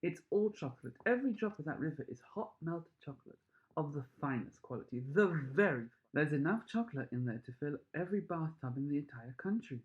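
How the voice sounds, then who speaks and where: A man voicing an excitable, proud, fussy chocolate factory owner in a storybook reading, with gushing exclamations. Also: fairly high pitch, brisk, phone-quality sound, natural voice. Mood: exultant.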